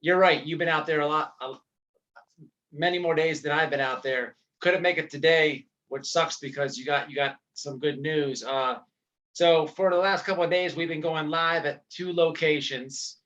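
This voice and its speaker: A man's voice: fairly high pitch, fairly even intonation, normal speed, a bit bright, lo-fi sound. A man hosting a casual livestream interview, chatting informally and loosely, chiming in with agreement. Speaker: interview host of a livestream channel. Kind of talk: casual chat.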